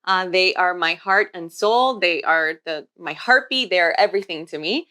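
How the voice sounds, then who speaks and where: A woman in her forties co-hosting a podcast, talking in a warm, steady, explanatory way. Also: on the low side, normal speed. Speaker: podcast co-host, healthcare worker. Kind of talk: casual podcast chat.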